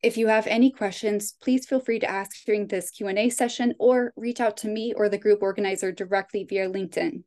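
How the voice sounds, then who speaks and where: A woman guest speaker wrapping up a webinar talk in a friendly, clear way and taking questions over a video call. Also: mid-range voice, steady tone, fairly quick, hardly any pauses, darkish tone.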